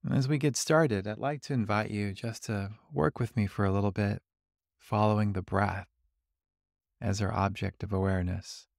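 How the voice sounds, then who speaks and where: Male meditation guide: lowish, creaky voice, calm and gentle delivery, leaving long pauses between instructions. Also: dry recording, clean studio sound. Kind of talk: guided body scan meditation.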